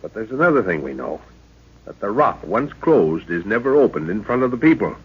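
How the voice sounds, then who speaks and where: Scholarly professor, low husky voice, measured and hesitant, muffled old radio sound. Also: male voice, sing-song, full character voice. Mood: calm, resolute. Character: scholarly middle-aged male professor, calm leader. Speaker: professor leading an expedition. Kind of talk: 1930s radio drama acting. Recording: dull lo-fi old radio recording.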